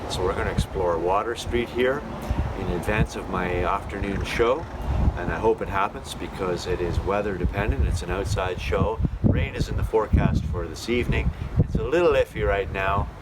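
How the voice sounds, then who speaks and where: A man's voice: deep voice, normal speed. A man narrating a casual travel vlog on location in a chatty, reflective, unscripted way. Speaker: radio show host and touring performer filming a travel documentary. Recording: clearly noisy outdoor and vehicle recording.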